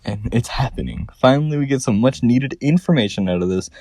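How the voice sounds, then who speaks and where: Theory video host: man, relaxed dark tone, lively intonation, scripted commentary with slight echo. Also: medium pitch, a little fast, studio quality.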